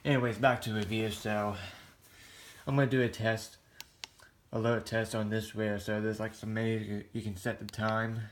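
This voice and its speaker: Low-pitched man, very even delivery. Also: normal speed, slight background noise. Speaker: hobbyist video host. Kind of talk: casual product review to camera.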